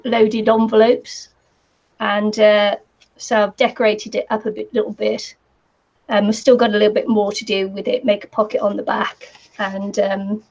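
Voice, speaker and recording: A woman with a New Zealand accent chatting casually on a live craft stream, her voice croaky today, rambling with lots of ums and little laughs. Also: medium pitch, a little mellow. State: croaky voice from the heat. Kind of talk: casual chat while crafting. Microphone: computer or camera mic with a fan nearby. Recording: slightly dull lo-fi sound.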